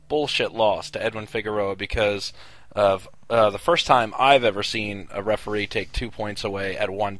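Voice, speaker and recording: A man's voice: lowish voice, a bit bright, no echo. Wry male podcast co-host, dry sound. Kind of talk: casual sports chat.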